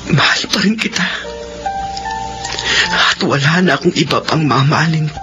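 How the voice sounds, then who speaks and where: A man playing a gentle, forgiving, heartbroken husband and father in a Filipino radio drama. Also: on the high side, moderate pace, close to an everyday voice. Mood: heartbroken, devoted. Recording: dull lo-fi audio under music.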